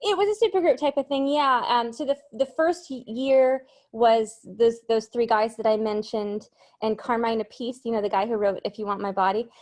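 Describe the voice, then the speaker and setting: A woman's voice: a little high, moderate pace, a little mellow. A woman in her thirties from Cape Cod, with a light Massachusetts accent, chatting as a singer-songwriter guest in a casual interview, bubbly and self-deprecating.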